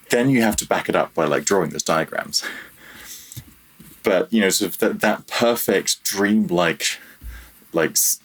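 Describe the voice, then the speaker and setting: A man's voice: deep voice, fairly bright tone, husky, rough recording. A man in his thirties talking casually and candidly as an interview guest, often correcting himself mid-sentence. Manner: halting, self-correcting, candid, chuckles at himself. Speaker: podcast guest, freelance audio developer.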